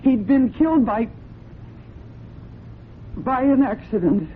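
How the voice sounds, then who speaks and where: A cornered, defensive young woman in an old radio play. Her high, expressive voice is earnest and guarded, heard through a muffled, slightly noisy recording. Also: takes it slowly. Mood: desperate, pleading. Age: young adult. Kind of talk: radio drama acting.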